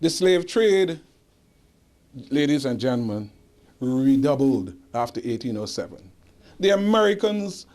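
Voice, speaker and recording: A man's voice: on the high side, a little mellow. Barbadian man lecturing with rhetorical "huh?". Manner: rhetorical, adds "huh?" tag questions; emphatic, with searching pauses for dates. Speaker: lecturer on history. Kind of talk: lecture answering audience questions. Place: indoor lecture hall.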